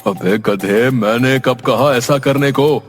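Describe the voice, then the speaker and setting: A man's voice: medium pitch, slow pace, soft background music, natural voice. A man voicing a stingy, exasperated village merchant in a cartoon story, scolding and blustering. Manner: scolding, blustering, questioning grunts. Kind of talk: Hindi cartoon story dialogue.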